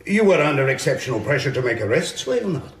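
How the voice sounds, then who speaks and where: An actor playing a supercilious defence barrister, formally and precisely pressing a witness in a courtroom radio drama. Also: male voice, a little high, big pitch swings, quick pace, a little hiss or hum. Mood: insistent. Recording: echoey courtroom acoustic.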